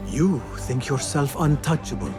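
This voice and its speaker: A solemn game assassin, earnest and controlled. His mid-pitched voice carries quiet resolve, with strong music behind it. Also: animated, measured pace. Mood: defiant. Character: solemn, resolute male assassin of a secret order.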